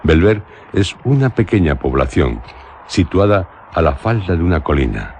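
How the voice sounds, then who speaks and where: An actor playing a melancholic traveller narrating a literary radio drama, solemn and measured, with a Castilian accent. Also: male voice, rumbling low, a little mellow, a little hiss or hum, full character voice. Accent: Castilian Spanish. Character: solemn, melancholic male traveller recounting an eerie journey.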